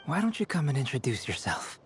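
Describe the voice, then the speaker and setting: A man playing a calm, articulate young scholar in a video game, speaking politely. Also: mid-range voice, brisk, faint music behind, natural voice. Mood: calm, friendly.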